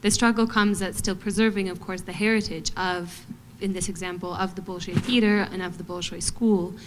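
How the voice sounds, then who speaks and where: A young adult woman from Montana, a ballet artist answering on a panel in a thoughtful, measured way with frequent pauses and fillers. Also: lowish voice, fairly even intonation, slight background noise, low-quality recording. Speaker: guest artist, ballet dancer.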